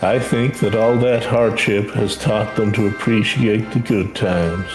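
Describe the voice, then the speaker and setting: A gentle and proud male teacher from a fantasy game, read out by a man. The voice is fairly low and speaks formally and earnestly, with music behind it. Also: fairly even intonation, a little slow, close to an everyday voice, low-quality recording. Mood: warm, reflective. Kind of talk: video game dialogue read aloud.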